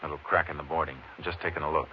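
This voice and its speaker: Low-voiced, steady Texas Ranger, old radio. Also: male voice, rapid-fire. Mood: quiet, watchful. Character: steady, laconic Texas Ranger lawman, unafraid. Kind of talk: 1950s radio western acting. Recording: noisy, muffled old 1950s radio recording.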